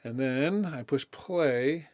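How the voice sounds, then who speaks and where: A man presenting a music production tutorial in a casual, informal way, thinking aloud as he demonstrates software. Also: medium pitch, takes it slowly.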